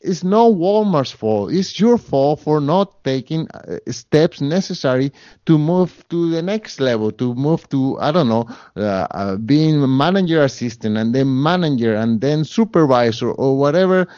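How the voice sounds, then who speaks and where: A man's voice: on the high side, lively intonation, unhurried, warm tone, dry recording, muffled recording. A man with a Spanish accent, a guest in an interview, talking earnestly with many fillers and restarts. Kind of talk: interview conversation.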